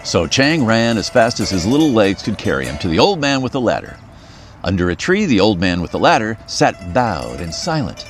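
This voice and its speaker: A man reading a children's folktale aloud in a very expressive, playful storyteller manner. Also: fairly low pitch, moderate pace, faint music behind.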